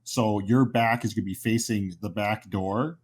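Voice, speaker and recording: Lowish-voiced man running a tabletop game. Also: steady tone, normal speed, darkish tone, slightly rough. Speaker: game master.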